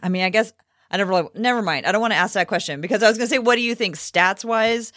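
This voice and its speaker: A woman's voice: mid-range voice, racing, a bit bright, no echo, clean studio sound. A woman co-hosting a sports podcast in casual chat, thinking aloud a bit tentatively.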